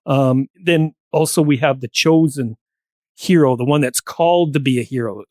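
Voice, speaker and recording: Man explaining ideas, mid-pitched and dry. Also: moderate pace, darkish tone, no echo. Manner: explanatory, professorial, listing examples. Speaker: podcast guest. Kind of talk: discursive panel discussion.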